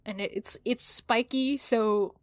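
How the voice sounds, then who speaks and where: A woman's voice: fairly high pitch, slow pace, no echo. A woman playing a tabletop game, casually describing her moves.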